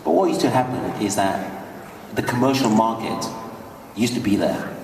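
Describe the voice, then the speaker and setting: A man on a panel who answers audience questions in a relaxed, joking way and often repeats phrases. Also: medium pitch, moderate pace, creaky, slight background noise. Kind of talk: casual expert talk at a live panel. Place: large convention hall. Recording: echoey hall audio from far off.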